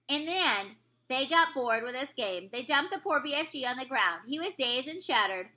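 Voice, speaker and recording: A woman narrating a children's book aloud in an expressive storytelling style. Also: high-pitched, moderate pace, rough recording. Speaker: story reader.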